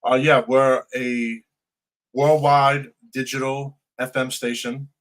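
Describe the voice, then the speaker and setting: A man with a flat, even delivery co-hosts a live radio show. He promotes the station casually, building his pitch in halting phrases. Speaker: radio co-host and DJ.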